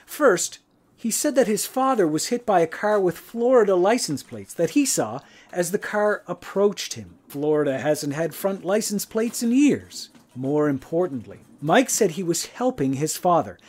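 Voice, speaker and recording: A man acting as a calm, shrewd investigator in a radio mystery drama, questioning and explaining in a measured way. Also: high-pitched, slightly rough, heavily acted. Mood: confident, explaining. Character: calm, shrewd male investigator who closes in on culprits.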